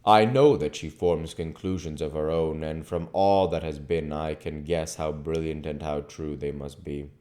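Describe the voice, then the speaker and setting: A man reading a classic novel aloud as an amateur audiobook reader, in a measured, formal way. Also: a bass voice, fairly even intonation, a little mellow.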